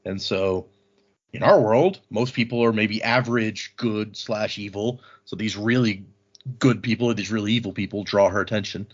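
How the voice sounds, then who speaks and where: A man's voice: lowish voice, fairly even intonation, moderate pace, breathy edge. Casual man recapping, slightly dull recording. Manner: informal, acts out quoted dialogue briefly. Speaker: podcast host.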